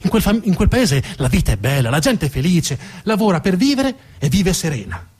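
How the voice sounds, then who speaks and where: A man's voice: high-pitched, sing-song, a little fast, a little mellow, husky. A man performing a narrative theatre monologue, emphatic and theatrical, repeating words for effect. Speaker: storytelling stage actor.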